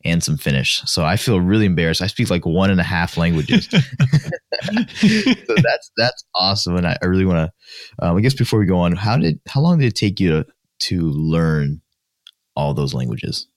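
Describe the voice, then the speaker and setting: Man, very low voice, podcast host, upbeat, laughs a lot, dry close mic. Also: big pitch swings, normal speed, no room sound. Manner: upbeat, laughs often, fillers and restarts. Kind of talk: casual interview chat.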